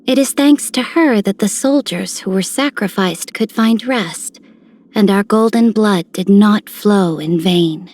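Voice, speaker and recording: A woman's voice: medium pitch, measured pace, a little mellow. A woman voicing a regal, dignified noblewoman commander in a video game, stately and formal. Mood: solemn, tender. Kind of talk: video game character voice lines.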